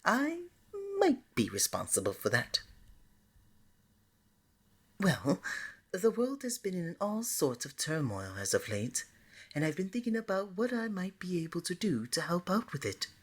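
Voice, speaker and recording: A flirty, easily flustered god of love voiced by a woman for an ASMR romance roleplay. The voice sits low and swings freely up and down, with a husky breathiness that slips toward a near-whisper. The manner is playful, coaxing and openly affectionate. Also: very animated, a little slow, long pauses, full character voice. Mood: sheepish, apologetic. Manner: coaxing, playful, pet names like sweetie and honey.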